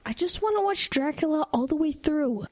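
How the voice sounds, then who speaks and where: A woman's voice: sits high, lively intonation, normal speed, darkish tone. A woman voicing a whiny young boy. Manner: whiny, earnest. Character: young boy, eager horror-loving little brother. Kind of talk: audio drama acting.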